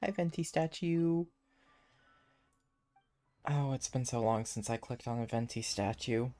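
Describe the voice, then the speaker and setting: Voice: mid-range voice, unhurried, mellow. A game streamer giving casual gameplay commentary, musing aloud to themself while playing. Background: game music.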